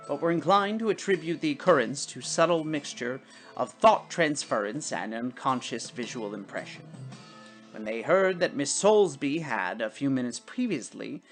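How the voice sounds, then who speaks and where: A woman narrating a literary story as an expressive, dramatic storybook reader. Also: rumbling low. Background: music.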